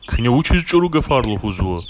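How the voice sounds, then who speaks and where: A man's voice: mid-range voice, very animated, fairly quick, a little hiss or hum, natural voice. A man voicing a plain-spoken village man in a dubbed cartoon. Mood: conversational.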